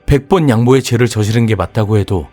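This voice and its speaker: A man reading a horror audiobook as its narrator, telling the story in a measured, even way. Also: lowish voice. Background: soft music.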